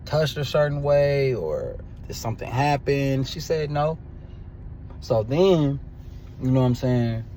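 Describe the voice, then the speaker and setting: A man in his twenties telling a dating story in a crude, animated rant, dropping to low mutters and rising to loud outbursts. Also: slight background noise. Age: 20s. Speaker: dating commentator.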